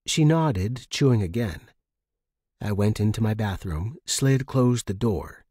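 Husky man narrating fiction, close and dry. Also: on the low side, animated, takes it slowly, dark tone, dry recording. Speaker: story narrator. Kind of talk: scripted first-person fiction narration.

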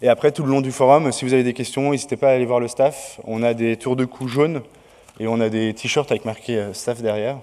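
A man's voice: medium pitch, fairly even intonation, a little fast, a little mellow, a little hiss or hum. Hesitant man announcing in a big hall. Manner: hesitant, many fillers. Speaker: conference organizer. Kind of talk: opening announcements. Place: large lecture hall. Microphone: podium mic. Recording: reverberant hall.

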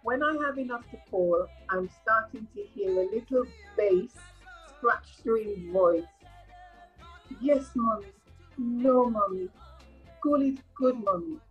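A woman's voice: fairly high pitch, slow pace, slightly rough, faint music behind, full character voice. Weary Caribbean migrant nurse, read by an older woman, muffled. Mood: wistful. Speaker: retired nurse reading a play. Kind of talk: rehearsed play reading, monologue. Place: indoor room at home, video call. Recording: muffled video-call audio.

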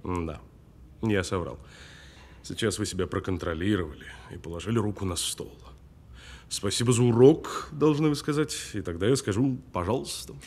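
An actor playing a cool, sardonic detainee who needles a policeman with deliberate, mocking delivery in an audio drama. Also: fairly low pitch, a little mellow. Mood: calm, sardonic. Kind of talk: naturalistic audio film drama acting.

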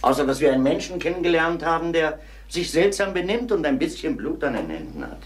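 An actor playing a calm, probing, wry middle-aged detective in a crime radio drama. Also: male voice, a little high, fairly quick, a little hiss or hum. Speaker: police investigator.

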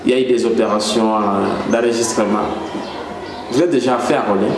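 A man's voice: on the low side, fairly even intonation, brisk, creaky. Male host, pushy n teasing, very echoey room, noisy background. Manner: pushy, teasing, repeats himself. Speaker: interviewer and show host. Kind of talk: casual interview hosting.

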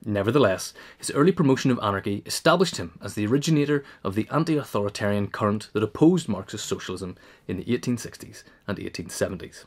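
Low-voiced man reading a scripted essay. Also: expressive, fast. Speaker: reader.

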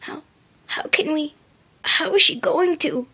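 A woman acts out a brash female pegasus pony in a high, urgent voice. She is loyal and emotional, faltering over her words in a fan story reading. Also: slow pace, bright, forceful tone, heavily acted. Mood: shocked.

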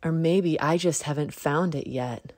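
A woman's voice: sits low, unhurried, darkish tone, no room sound, clean studio sound. A woman voicing an earnest, hopeful young female bunny in a storybook, speaking simply.

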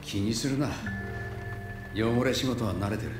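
A man voicing a gruff, world-weary partner in a video game, with blunt, rough, terse speech. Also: medium pitch, unhurried, natural voice. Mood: resolute. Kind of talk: cinematic video game voice acting. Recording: echoing voice under loud music.

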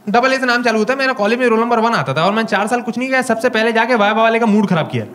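Young man, Indian accent, stand-up comic, high voice, handheld mic, noisy club. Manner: animated storytelling, acting out dialogue. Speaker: stand-up comedian. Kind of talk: stand-up comedy set. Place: small comedy club stage before a live audience. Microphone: handheld stage mic.

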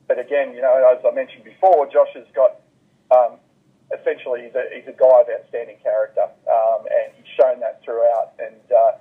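Over a narrow telephone line, a male commentator gives careful, measured analysis. His voice is husky and mid-pitched, and he qualifies his points as he goes. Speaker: basketball commentator, interview guest. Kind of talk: phone interview analysis.

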